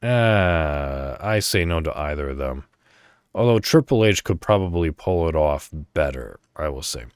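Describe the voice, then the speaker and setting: A man's voice: rumbling low, lively intonation, unhurried, smooth, no room sound, clean studio sound. A man hosting a casual solo podcast, rambling as he thinks aloud and swearing offhandedly. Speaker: podcast host.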